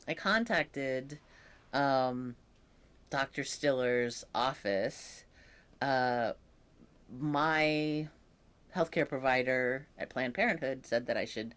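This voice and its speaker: Young guy talking slow in a vlog, lots of ums, voice gets a bit froggy sometimes. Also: mid-range voice. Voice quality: occasionally croaky voice. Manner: slow, thoughtful, with many pauses and fillers. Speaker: vlogger. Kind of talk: casual personal update.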